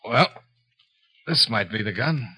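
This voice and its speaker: An actor playing a cool, probing insurance investigator in a 1950s radio detective drama, clipped and wry. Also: male voice, mid-range voice, lively intonation, slow pace, pushed and bright, husky, heavily acted. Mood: matter-of-fact.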